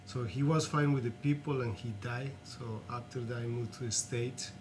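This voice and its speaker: A man with a Colombian accent and a mid-pitched voice speaks as an interview guest. He is earnest and reflective, feeling for words in his second language. A faint noisy rumble sits behind him. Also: fairly even intonation. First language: Spanish. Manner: earnest, reflective, halting non-native English. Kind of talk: personal storytelling in an interview.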